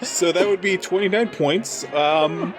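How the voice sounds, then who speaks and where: A man's voice: sits high, a little slow, over loud music, poor audio. A man chatting casually as a player in a tabletop role-playing game, talking through his character's moves.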